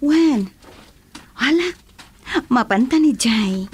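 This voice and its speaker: A woman's voice: a little high, expressive, takes it slowly, loud music behind the voice. A woman playing a welcoming princess of a spirit world in a radio drama, coaxing and reassuring as she speaks. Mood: insistent.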